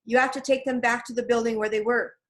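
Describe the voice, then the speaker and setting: A woman's voice: fairly high pitch, level tone, fast talker, close to an everyday voice. A woman voicing an earnest, easily distressed young boy adventurer in a storybook reading, with an urgent, pleading manner.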